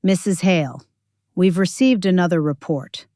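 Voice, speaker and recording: A woman's voice: on the low side, normal speed, warm tone, no echo, lightly acted. A woman narrator plays a male sheriff's deputy in an audio story. He speaks formally and carefully, with weary restraint. The recording is dry and close.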